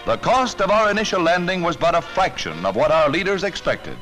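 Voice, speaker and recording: A man's voice: on the high side, big pitch swings, brisk, poor audio. An American man reading a scripted 1940s newsreel narration in an urgent, dramatic, declamatory manner on a vintage soundtrack. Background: loud music.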